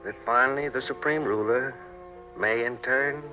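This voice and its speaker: A man's voice: mid-range voice, close to an everyday voice. Man playing solemn reverend, music behind. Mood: solemn, pious. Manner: measured, grave, preacherly. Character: solemn pious reverend giving a funeral eulogy. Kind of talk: old-time radio drama acting. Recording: dull vintage radio recording, music behind.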